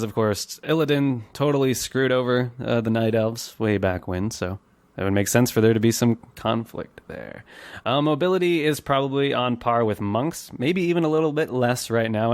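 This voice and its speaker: Male podcast host, medium pitch, chatty explainer, casual gaming talk, dry sound. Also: dry recording. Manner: chatty, laughs easily while explaining.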